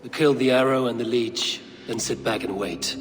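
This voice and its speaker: A man's voice: mid-range voice, steady tone, normal speed, noisy background, close to an everyday voice. Stern Viking raider played by a man, reverberant. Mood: grim resolve. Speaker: playable lead character. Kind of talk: video game voice acting.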